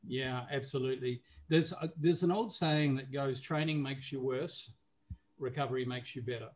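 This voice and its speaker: A man's voice: medium pitch, fairly even intonation, normal speed, slight background noise. A man in his sixties from New Zealand with a New Zealand accent, a podcast guest talking casually with many fillers and restarts. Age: 60s. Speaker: guest, recovery business owner and coach.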